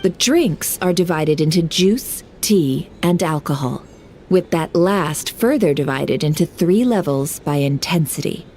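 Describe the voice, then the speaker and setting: An actress playing a composed, shrewd and secretive woman in a video game, speaking in poised, formal, measured phrases. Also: low-pitched, lively intonation, darkish tone, faint music behind. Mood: poised, explanatory. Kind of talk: video game story dialogue.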